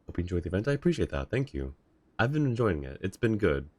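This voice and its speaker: A man's voice: low voice, very animated, fast talker, darkish tone, no room sound. A man chatting casually and reacting as he livestreams a video game. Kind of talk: casual stream chat and reactions.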